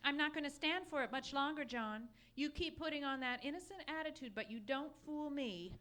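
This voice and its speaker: A woman's voice: high voice, fairly quick, bright, forceful tone. A woman playing a nagging, self-pitying, jealous wife in an old-time radio comedy, insistent, accusing and plaintive. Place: live theatre stage performance.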